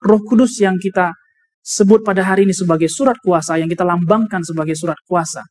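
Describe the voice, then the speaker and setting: High-pitched Indonesian male preacher. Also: a little mellow. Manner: deliberate, explanatory, repeats key phrases for emphasis. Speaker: preacher, also a doctor. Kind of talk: sermon with personal anecdotes and scripture reading.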